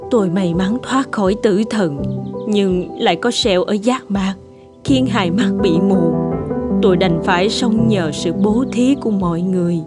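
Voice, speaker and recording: A woman's voice: medium pitch, normal speed, close to an everyday voice. A woman playing a poor, blind old beggar in a cartoon, humble, pleading and sorrowful. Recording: loud background music.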